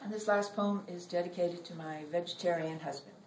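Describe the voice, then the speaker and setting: A middle-aged woman giving a live poetry reading in measured, deliberate phrases with dramatic pauses. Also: lowish voice, fairly even intonation. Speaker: poet reading own work. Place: library reading room. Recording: roomy, noisy recording, distant from mic.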